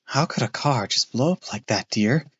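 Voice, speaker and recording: Steady, soothing mom, lifted higher, put on by a male reader. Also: normal speed, breathy edge, highs cut off. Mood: reassuring. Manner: soft, reassuring. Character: calm, soothing mother, middle-aged.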